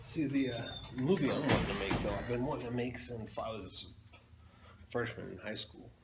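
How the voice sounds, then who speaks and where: A man's voice: mid-range voice, moderate pace. A man in casual conversation as a comic book fan, with some room noise around him. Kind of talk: casual chat. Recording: noisy room ambience.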